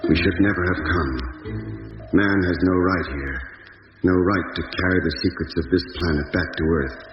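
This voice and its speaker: A man acting an earnest, brooding doctor and diarist aboard a spaceship, speaking gravely and with care in a 1950s radio drama. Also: low-pitched, music in the background, close to an everyday voice. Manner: grave, measured, literate. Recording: dull, noisy 1950s radio recording.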